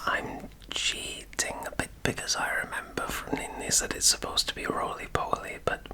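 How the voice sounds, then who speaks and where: A man from England with a mixed English and Welsh accent, doing a whispered ASMR accent tag, soft and hesitant with many pauses. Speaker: guest on girlfriend's ASMR channel.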